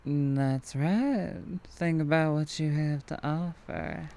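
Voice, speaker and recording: A woman performs a tough, playful vampire truck driver with a Southern US accent in an ASMR roleplay. Her voice sits very low for a woman and moves at a leisurely drawl. She teases like a predator toying with prey, lingering between phrases. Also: mellow, a little hiss or hum. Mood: playful, menacing. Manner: slow, teasing drawl with frequent chuckles and lingering pauses.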